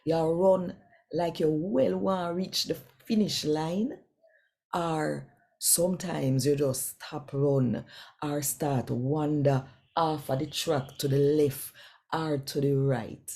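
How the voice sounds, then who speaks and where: A woman's voice: low voice, steady tone, slow, warm tone. A woman from Jamaica with a Jamaican accent giving a devotional scripture reading in Patois, speaking directly and conversationally to listeners. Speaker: Bible reader and host.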